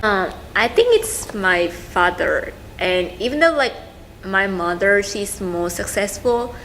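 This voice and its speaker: A young woman with a Vietnamese accent answers informally in a mid-pitched voice. She is halting and often searches for her words, with noticeable noise in the recording. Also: sing-song, fairly bright tone. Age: young adult. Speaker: student interviewee. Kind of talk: casual, informal talk about family. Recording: clearly noisy room recording.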